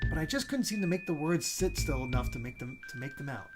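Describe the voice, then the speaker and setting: Animated man reading game narration aloud. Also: on the high side, fast. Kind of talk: casual let's-play read-aloud with chat asides. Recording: game music behind the voice. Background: video game music.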